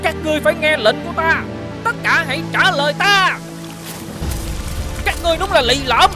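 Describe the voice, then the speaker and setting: High-pitched, blustering cartoon village bully. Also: male voice, brisk. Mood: menacing. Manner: blustering, drawling, stammering. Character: greedy, bullying middle-aged male village traitor, boastful and fawning. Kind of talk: exaggerated animated villain acting. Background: music.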